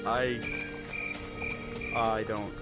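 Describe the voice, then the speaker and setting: A man's voice: fairly low pitch, level tone, extremely slow, loud music behind the voice, pitched below the performer's own voice. A man voicing a friendly, folksy young hero on the run. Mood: easygoing.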